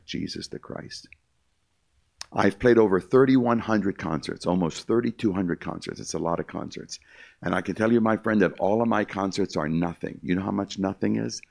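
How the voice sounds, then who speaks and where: An older man preaching his testimony with a deep, low voice. His delivery is emphatic and repetitive as he keeps calling the listeners "my friend." There's a gravelly crackle on his words. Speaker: concert pianist giving testimony. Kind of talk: evangelistic testimony speech to a live audience.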